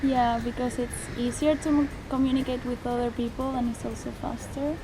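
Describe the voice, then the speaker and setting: A woman's voice: a little high, steady tone, brisk, background noise. Woman giving short answers. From Spain. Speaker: interviewee.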